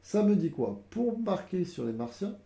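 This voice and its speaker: A man's voice: high voice, very animated, normal speed, creaky, dark tone, roomy sound, slight background noise. A man with a cold, explaining board game rules casually to viewers, hesitant and full of fillers. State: has a cold.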